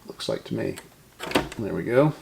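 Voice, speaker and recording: Creaky-voiced man thinking aloud. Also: on the low side, expressive, normal speed, noisy background. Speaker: host of a vintage radio repair video. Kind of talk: casual hands-on narration while working.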